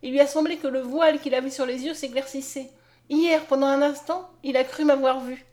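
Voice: sits high, fairly even intonation, fairly quick, a little mellow, voice pushed up, natural voice. A woman narrator voicing a pleading, anguished young peasant girl with imploring exclamations in a storybook reading. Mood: tearful earnest.

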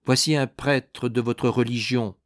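A man's voice: medium pitch, fairly even intonation, measured pace, no echo, clean studio sound, natural voice. A man voicing an old soldier in a storybook reading, honourable, formal and earnest as he admonishes.

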